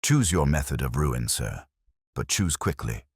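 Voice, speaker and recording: A man narrating a story as a weary, fatalistic old inventor who speaks gravely and formally. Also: extremely low pitch, very animated, measured pace, dry recording, clean studio sound, full character voice.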